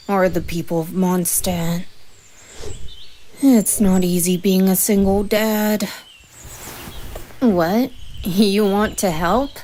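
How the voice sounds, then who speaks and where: A woman playing a playful, easily flustered young male bard and doting single dad in a fan audio roleplay, speaking expressively with exasperated affection. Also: medium pitch. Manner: expressive, exasperated yet affectionate, sighs and chuckles.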